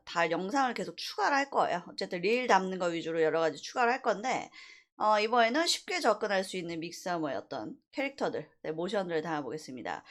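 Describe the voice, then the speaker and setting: Woman teacher doing a screen-recording tutorial, lively pitch, lots of uhs and throat clearing. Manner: explanatory, with frequent fillers and throat clearing. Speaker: university animation instructor.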